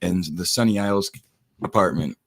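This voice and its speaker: Young male guest, low voice, halting delivery. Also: creaky, no echo. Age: 20s. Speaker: podcast guest. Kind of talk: casual interview.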